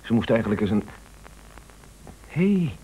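Radio play husband: low voice, animated, stubborn, indignant, bossy. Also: male voice, measured pace, narrow, tinny audio, a little hiss or hum. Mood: agitated, bossy. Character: fussy, obstinate husband puzzled by his radio. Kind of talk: Dutch radio play acting.

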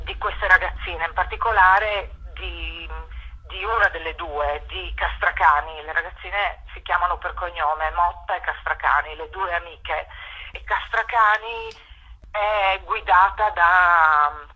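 A woman with a husky, bright voice talks slowly over a dull phone line. She answers an interviewer reflectively, pausing and repeating phrases for emphasis. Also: fairly low pitch, lively intonation. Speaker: theatre director, interview guest. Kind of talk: unscripted radio interview answers. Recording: dull, lo-fi telephone call to radio.

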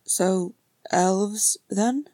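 A woman voicing a lively, teasing younger dwarf brother, chattering and exclamatory, in an audiobook-style story. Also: medium pitch, drawn-out words, dry recording. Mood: anxious prodding.

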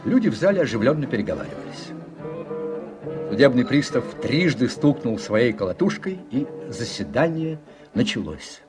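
A man's voice: mid-range voice, very animated, moderate pace. A man reading scripted narration for a radio play. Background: music.

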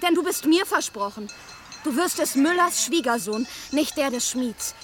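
High-voiced jealous village girl. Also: lively intonation, moderate pace, a crowd in the background. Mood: jealous, pointed. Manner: pointed, insinuating. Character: jealous, possessive young village miller's daughter. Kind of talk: naturalistic radio play dialogue. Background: bleating goats.

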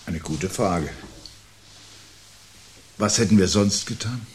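An actor playing a brooding, articulate man who calmly awaits the world's end in a radio play, speaking in a measured, formal, sardonic way. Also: fairly low pitch. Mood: dryly sardonic. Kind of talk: 1970s German radio play acting. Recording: 1970s radio production with audible background noise.

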